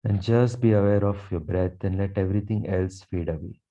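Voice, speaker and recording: Very dark, low-voiced man guiding meditation. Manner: slow, calm, soothing delivery with long pauses. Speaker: meditation guide, Reiki teacher. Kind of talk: guided meditation with affirmations. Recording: dull, muffled lo-fi sound.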